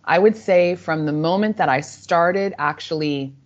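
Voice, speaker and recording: A woman in her forties, a native Spanish speaker, telling a guest's story reflectively and warmly, often restarting her sentences. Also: lowish voice, a little slow. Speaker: author and guest. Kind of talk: interview answers. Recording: slightly dull lo-fi audio.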